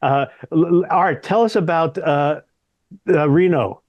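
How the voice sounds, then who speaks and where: A man's voice: on the high side, unhurried, dry recording, lo-fi sound. Man, panel moderator, hesitant, many fillers, dry close sound.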